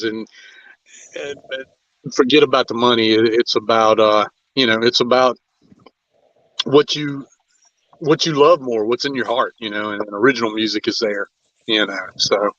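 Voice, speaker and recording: A man hosting a casual interview, speaking informally in halting, loosely phrased sentences. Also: medium pitch, steady tone, fairly bright tone, dull audio. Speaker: interview show host, musician.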